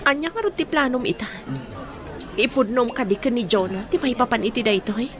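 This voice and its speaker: A concerned young Filipina, played by a woman in a radio drama, pressing and loyal. Her voice is fairly high, over clear chatter and clinking glasses. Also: lively intonation, a little fast, close to an everyday voice. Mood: concerned, probing.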